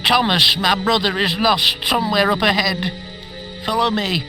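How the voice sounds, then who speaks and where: A man's voice: high-pitched, steady tone, moderate pace, music in the background, lightly acted, poor audio. A man playing an anxious, impatient villager who pleads fretfully in a fantasy video game. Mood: pleading, fretful.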